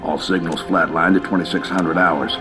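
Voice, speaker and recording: An actor playing a stern, resolute senior military commander in a video game, clipped and formal. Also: male voice, deep voice, steady tone, normal speed, music in the background, lightly acted. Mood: grave. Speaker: military colonel giving a briefing. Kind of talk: video game cutscene acting.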